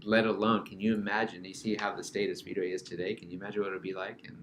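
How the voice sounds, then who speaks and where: A man's voice: low voice, flat delivery, fast, background noise. A man in his forties hosting a podcast, trading jokes and teasing banter in casual chat. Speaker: podcast host.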